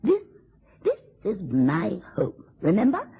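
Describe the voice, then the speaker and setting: A husky-voiced drunken wife in an old radio play, spiteful and taunting. Her pitch swoops wildly as she drawls sarcastic endearments, and the recording is dull and lo-fi. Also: huge pitch swings, takes it slowly, full character voice. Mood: tipsy, mocking. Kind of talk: radio drama acting.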